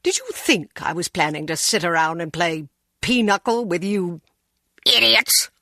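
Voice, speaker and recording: A woman voicing a haughty, scornful baroness in a video game, imperious and drawn-out. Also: lowish voice, expressive, bright, forceful tone, no room sound, clean studio sound, heavily acted. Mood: contemptuous.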